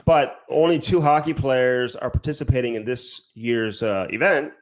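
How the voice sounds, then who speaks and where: A man's voice: mid-range voice, normal speed. A man co-hosting a podcast in a casual, bantering style, slangy and peppered with "dude" and "man". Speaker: podcast co-host.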